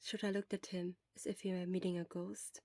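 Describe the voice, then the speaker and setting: A woman's voice: fairly low pitch, flat delivery, brisk, dark tone, no room sound. Woman narrating a story, dry sound. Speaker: podfic narrator.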